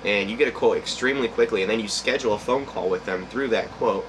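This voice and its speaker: A man's voice: fairly low pitch, quick pace. A young man casually explaining sail measurements on his boat outdoors in a boatyard, talking into a handheld camera. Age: young adult. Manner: easygoing, explaining step by step. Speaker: sailing vlog co-host. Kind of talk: casual how-to vlog. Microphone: handheld camera close up. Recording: faint outdoor wind noise.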